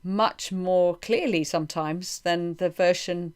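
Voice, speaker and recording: A woman's voice: fairly low pitch, slow pace, clean tone. A woman hosting a casual interview, thinking aloud in a reflective way. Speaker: podcast host.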